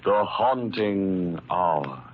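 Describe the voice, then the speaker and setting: Slow, ominous radio mystery narrator. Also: male voice, low-pitched, lively intonation, very noisy, full character voice. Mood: ominous, solemn. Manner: slow, solemn, portentous. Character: ominous, solemn male narrator of a mystery show. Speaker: announcer introducing a mystery programme. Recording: muffled 1940s radio recording, organ music. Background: loud organ music.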